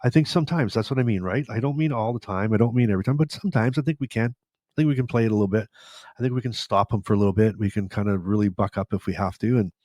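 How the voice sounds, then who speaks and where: An older man hosting a live question-and-answer podcast, rambling and talking straight to his listeners, his voice a little tired and raspy from a long day of talking. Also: lowish voice, racing, no room sound, clean studio sound. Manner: rambling, warm-hearted, talks straight to viewers. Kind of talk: live Q&A chat. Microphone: studio microphone with headphones.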